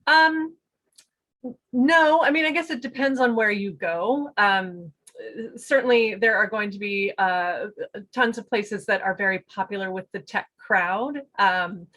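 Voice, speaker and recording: A woman's voice: medium pitch, a little slow, sharp tone. A woman, a guest and business owner, talking chattily over a video call with frequent fillers and light laughter. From United States. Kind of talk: casual interview talk.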